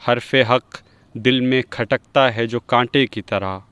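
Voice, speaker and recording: A man presenting and reciting poetry at a literary gathering in a measured, earnest manner. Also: mid-range voice, fairly even intonation, fairly bright tone, smooth, dry recording. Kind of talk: poetry recitation with a short spoken introduction.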